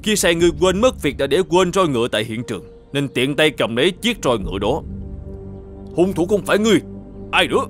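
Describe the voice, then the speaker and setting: A shrewd, stern governor from an animated folk tale. He lays down judgment with formal, commanding authority and an animated, varied delivery, over background music. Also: on the high side, fairly quick. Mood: stern, accusing. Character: stern, shrewd male governor judging a murder case. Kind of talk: cartoon folk-tale dubbing.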